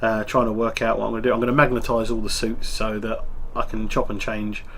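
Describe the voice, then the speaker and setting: A man's voice: on the low side, brisk, a little hiss or hum. A man with a cold and a stuffy nose, hosting a wargaming hobby channel and chatting casually with lots of ums. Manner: relaxed, rambling, full of ums. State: congested with a cold. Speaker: tabletop wargaming channel host. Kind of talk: casual hobby show-and-tell.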